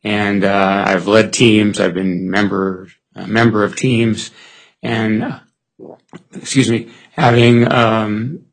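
A man in his sixties, hoarse, answering interview questions for a board seat at a public meeting, pausing with many fillers and restarts. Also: fairly low pitch, flat delivery.

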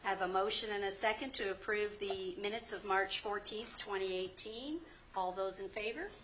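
A woman's voice: mid-range voice, moderate pace, a bit bright, a little hiss or hum, poor audio. Formal woman chairing a public meeting. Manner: formal, procedural. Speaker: commission chairperson.

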